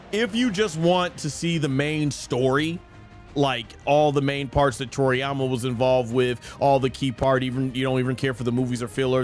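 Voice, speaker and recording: A man in his thirties hosting a gaming livestream, chatting casually and explaining his opinions at length. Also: on the high side. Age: 30s. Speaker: streamer and host of a live gaming show. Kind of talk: casual livestream chat answering viewer questions.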